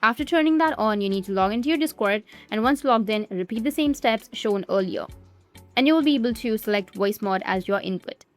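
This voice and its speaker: A woman giving step-by-step instructional narration for a software tutorial, with repetitive phrasing. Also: on the high side, animated, a little fast, faint music behind. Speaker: tutorial presenter.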